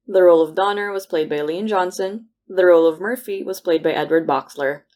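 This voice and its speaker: A woman's voice: on the low side, darkish tone. A woman giving a scripted announcement as a podcast producer. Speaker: podcast co-producer reading credits. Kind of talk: scripted announcement, upbeat greeting.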